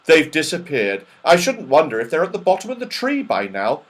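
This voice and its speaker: A man's voice: a little high, huge pitch swings, fairly quick, pitched above the performer's own voice. A man voicing a sensible, slightly impatient boy in a children's storybook, plain-spoken and emphatic. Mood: reassuring. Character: sensible boy adventurer, direct, a little impatient.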